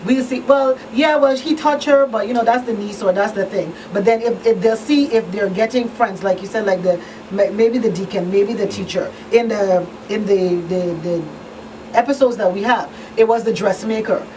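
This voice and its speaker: A woman's voice: medium pitch, moderate pace. Woman explaining things, distant from the mic. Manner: explanatory, checks listeners follow with tag questions. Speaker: talk show co-host and victim advocate. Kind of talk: panel discussion, conversational. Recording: distant mic, faint background noise.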